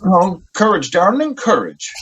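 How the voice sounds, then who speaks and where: Guy doing an excitable young poet in a radio play, earnest halting delivery, lively voice, slight background noise. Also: sits high, full character voice, low-quality recording. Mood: strained, anguished. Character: excitable, idealistic young male poet facing death. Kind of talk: radio drama acting.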